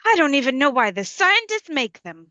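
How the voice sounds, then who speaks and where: Sketch comedy elderly female played by a woman: fretful, plaintive, raised bright voice. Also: high voice, big pitch swings, normal speed, lo-fi sound, pitched above the performer's own voice, exaggerated voice. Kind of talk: sketch comedy table read.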